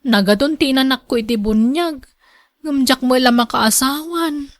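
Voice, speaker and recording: A woman acting as an outspoken young Ilocana daughter in a radio drama. Her voice is very high and recorded dry and close. She speaks with a Filipino Ilocano accent. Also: moderate pace, dry recording, lightly acted. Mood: wistful.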